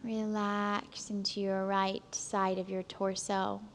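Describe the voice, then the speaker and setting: A woman instructor leading a guided relaxation, speaking calmly and gently, with long pauses between soothing instructions. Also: mid-range voice, slight background noise. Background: soft background music.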